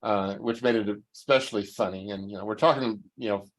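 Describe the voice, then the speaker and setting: A man's voice: lowish voice, brisk. A man in his seventies chatting casually in a group and joking about his age. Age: 70s. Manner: joking, self-deprecating. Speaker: panelist.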